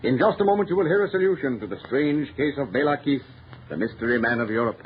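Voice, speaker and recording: A man's voice: medium pitch, lively intonation, quick pace. Dramatic old-time radio narrator, male. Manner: dramatic, declamatory, formal. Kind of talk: 1930s radio mystery narration, scripted. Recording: muffled, hissy old radio recording.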